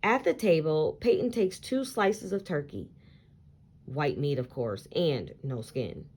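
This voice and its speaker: A woman reading a children's story aloud in an expressive, deliberate storytelling style. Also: low voice, husky. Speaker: picture book read-aloud reader.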